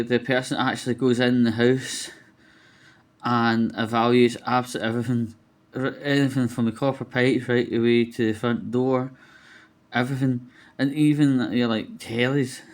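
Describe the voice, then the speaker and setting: Male vlogger, unhurried delivery, average pitch, informal rambling monologue, opinionated, slightly sweary. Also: a little mellow. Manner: rambling, colloquial, mildly sweary. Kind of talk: casual opinionated monologue to camera.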